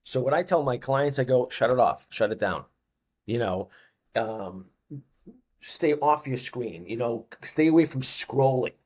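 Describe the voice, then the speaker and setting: A man's voice: medium pitch, animated, measured pace, a little mellow. A man in his fifties with a Boston accent, a fitness coach talking as a podcast guest, stressing his points by repeating phrases. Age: 50s. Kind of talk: casual podcast chat.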